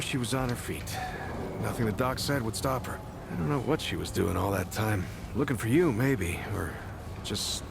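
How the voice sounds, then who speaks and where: An actor playing an earnest, anxious town deputy in a video game, hesitant and rambling, often stammering. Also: male voice, mid-range voice, creaky, noisy background. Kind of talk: naturalistic video game acting. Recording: loud background music.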